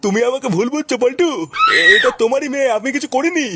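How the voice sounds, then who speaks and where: A man's voice: very high voice, fast talker, shouting, very noisy. A man voicing a smarmy holy man who acts innocent in a crude comedy cartoon, placating and protesting. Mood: pleading. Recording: music under the voice.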